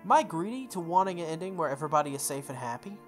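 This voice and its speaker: A mid-pitched gamer reads visual novel narration aloud. The delivery stumbles and repeats phrases, slipping into casual asides. Music plays clearly behind the voice. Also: lively intonation, racing. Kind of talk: playthrough read-aloud with offhand asides.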